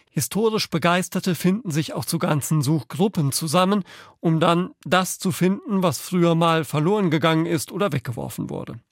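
A male magazine presenter with a fairly high voice delivers scripted radio links. The sound is close and very dry, with hardly any room on it. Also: no room sound.

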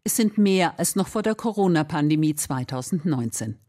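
A woman's voice: low voice, animated, normal speed, dark tone. Female reporter voice for scripted radio features, narrating reportage in a medium register.

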